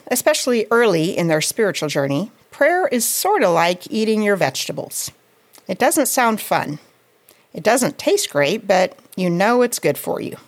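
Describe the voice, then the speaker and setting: A woman reading a scripted devotional in a measured, reflective and earnest manner. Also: mid-range voice. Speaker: devotional writer and reader.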